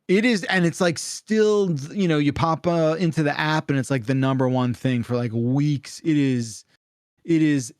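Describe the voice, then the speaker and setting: A man's voice: on the high side, normal speed, clear, resonant tone, no echo, studio quality. A man hosting a casual call-in podcast, talking in a rambling, emphatic way with some swearing. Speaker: podcast host.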